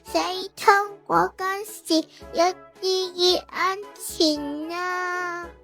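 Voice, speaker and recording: A woman's voice: very high-pitched, fairly even intonation, very slow, a bit bright, faint music behind, pitched above the performer's own voice, full character voice. A woman with a Hong Kong Cantonese accent voicing a cute, polite little child platelet worker in a manga dub. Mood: sweet, polite.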